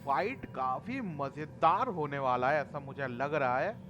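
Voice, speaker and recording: A man's voice: medium pitch, rapid-fire, over music. A man with an Indian accent giving casual commentary as he plays a video game. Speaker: gamer, video host. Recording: clear game noise and clicks behind.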